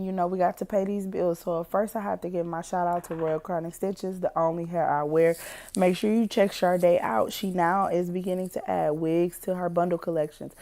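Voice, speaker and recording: A young woman hosting her own podcast while sick, her voice hoarse and partly gone, chatting folksily with listeners she calls family. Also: fairly low pitch, a little mellow. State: partially lost voice from sickness. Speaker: podcast host. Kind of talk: casual motivational podcast talk.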